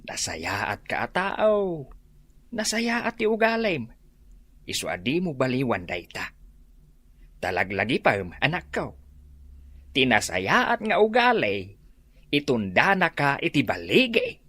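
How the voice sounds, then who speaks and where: An actor with an Ilocano accent playing a gentle older father who counsels his daughter in a radio drama. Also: medium pitch, wildly swooping pitch, slow pace, pauses often, full character voice. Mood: tender, advising.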